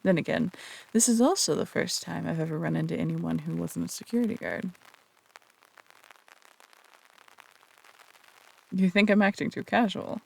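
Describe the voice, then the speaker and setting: A performer playing a flirtatious, teasing phantom thief in an intimate roleplay audio drama, breathy and coy, with casual, earnest turns. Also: medium pitch, a little fast, rough recording. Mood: playful.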